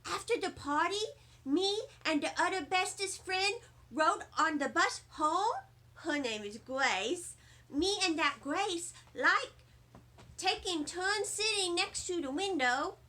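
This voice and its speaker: A woman reading a children's storybook aloud in expressive first-person narration. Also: very high voice, slow pace. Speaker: storybook reader.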